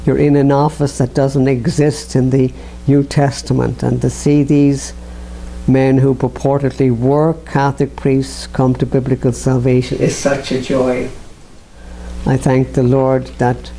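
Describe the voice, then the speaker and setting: A man hosting an evangelical program, speaking in an unhurried, earnest way with fillers and restarts. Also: mid-range voice, level tone, dark tone, slight background noise, rough recording. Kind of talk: slow unscripted radio-style talk.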